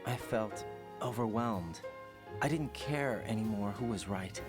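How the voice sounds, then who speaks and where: A man's voice: on the low side, a little slow, music in the background, lightly acted. An actor playing an earnest young male operative talking over a radio link. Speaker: soldier operative.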